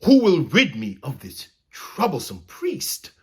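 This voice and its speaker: Man putting on a forceful, proud young king. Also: a little high, wildly swooping pitch, slow pace, pitched above the performer's own voice, exaggerated voice. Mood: furious bellowing. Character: young English king, commanding, proud and hot-tempered.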